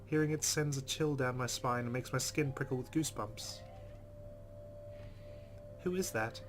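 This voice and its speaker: A man playing a game, reading its text aloud dramatically and reacting in a jumpy, expressive way. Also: mid-range voice, normal speed, faint music behind. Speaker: let's player. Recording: loud game sound behind voice. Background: game audio.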